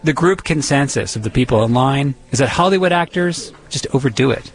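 A man's voice: mid-range voice, expressive, a little fast. A man from the Boston area with an American accent, narrating a scripted audio feature as a reporter. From Boston area, United States.

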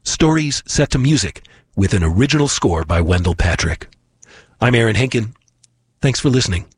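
A man hosting a podcast, reading a scripted outro in a measured, announcer-like way. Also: fairly low pitch, animated. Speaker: podcast host.

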